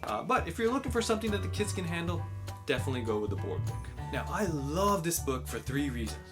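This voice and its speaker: A man with an American accent explaining and recommending in a clear, instructive way, using simple wording, as host of a children's learning channel. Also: on the high side, very animated, fast talker, music in the background. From United States. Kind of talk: explanatory book recommendation and picture-book read-aloud.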